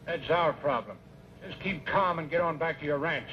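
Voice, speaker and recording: A man's voice: a little high, moderate pace, lightly acted. An actor playing a wary outlaw henchman in an old western radio drama. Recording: muffled, noisy old radio recording.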